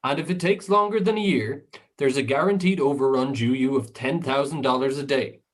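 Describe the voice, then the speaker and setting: A man in an amateur radio drama playing a smooth-talking, businesslike Hollywood film director. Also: medium pitch, fairly quick, close to an everyday voice. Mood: smooth, persuasive. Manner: businesslike, persuasive.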